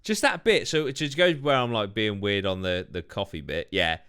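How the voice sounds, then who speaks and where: A man's voice: lowish voice, lively intonation, normal speed, fairly bright tone, smooth. Laid-back sweary guy. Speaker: paranormal channel host. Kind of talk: casual chat reacting to own video. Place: home room.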